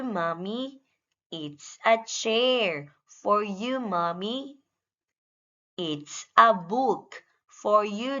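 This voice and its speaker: A woman with a mid-pitched voice reads out a beginner language lesson for small children. She speaks extremely slowly and deliberately, in short, simple, instructional phrases. The recording is dull and lo-fi. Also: fairly bright tone. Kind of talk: scripted English lesson for young children, short phrases read out for repetition. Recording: dull, lo-fi sound.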